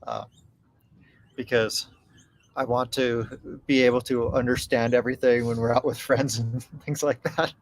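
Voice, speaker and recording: A man's voice: mid-range voice, little pitch movement, a little slow. Laid-back guy doing a live q&a from home, laughs a lot, reads out chat messages, bit of faint hiss. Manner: easygoing, laughs often, reads viewer messages aloud. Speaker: co-host of a travel channel live stream. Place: home in Mexico.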